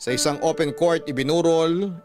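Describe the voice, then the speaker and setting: A man narrating a listener's letter in a radio drama, reading slowly and with emotion. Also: a little high. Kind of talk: scripted narration. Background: background music.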